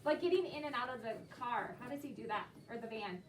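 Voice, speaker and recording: A woman's voice: a little high, expressive, fast. An American woman teaching a class of children, encouraging them and prompting with questions. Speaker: elementary school teacher. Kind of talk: leading a class discussion of a read-aloud. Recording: roomy, noisy classroom, distant mic.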